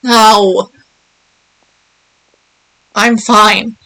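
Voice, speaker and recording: A woman's voice: mid-range voice, flat delivery, extremely slow, pushed and bright, low-quality recording. A woman streaming a video game, chatting casually in short, playful reactions. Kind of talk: casual gameplay reaction chat.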